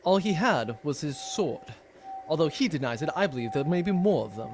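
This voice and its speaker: A man's voice: fairly high pitch, sing-song, moderate pace, over music. A man playing a dutiful military underling in an audio drama. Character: dutiful male military underling reporting to his boss. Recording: clearly noisy recording.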